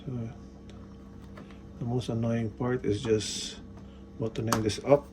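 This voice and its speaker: A man's voice: mid-range voice, level tone, takes it slowly. A man casually narrating a hands-on installation tutorial, step by step in short instructional phrases. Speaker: product installation demonstrator. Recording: faint background noise.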